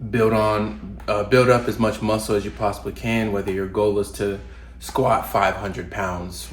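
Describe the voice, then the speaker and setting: A low-voiced young man with a drawl talks straight to camera. His nose sounds clogged from a recent cold, and he keeps it loose, with lots of ums and false starts. The room is echoey and has a faint hum behind him. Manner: relaxed, loose with fillers and restarts. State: congested from a cold. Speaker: fitness vlogger. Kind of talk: casual talking-to-camera advice. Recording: roomy home recording, faint ambience.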